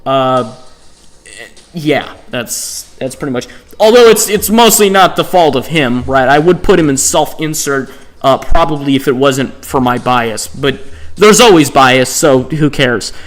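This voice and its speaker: A man's voice: mid-range voice, expressive, measured pace. A man giving casual, unscripted ranking commentary as a video host, rambling conversationally and often checking in with the listener. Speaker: host of a video game tier list channel. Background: music under the voice.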